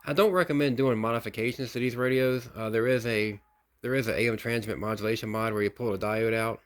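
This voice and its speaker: A man casually narrating a hobbyist review in an unhurried, folksy, explanatory way. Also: medium pitch, fairly even intonation. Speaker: radio hobbyist presenting a video.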